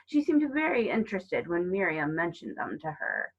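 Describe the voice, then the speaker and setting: A woman's voice: lowish voice, very animated, normal speed, dull audio, very noisy. A woman voicing a chatty, kindly elderly lady neighbour in a storybook, genteel and fond. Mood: chatty, polite.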